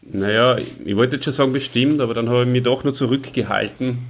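A man's voice: mid-range voice, brisk. A middle-aged Austrian man co-hosting a podcast, reminiscing in casual, conversational speech. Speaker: podcast co-host.

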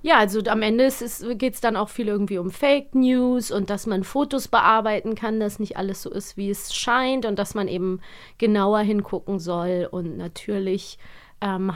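A woman's voice: medium pitch, smooth. Chatty German woman podcast host. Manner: chatty, laughs often, many fillers. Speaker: podcast host reviewing radio plays. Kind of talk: casual chat.

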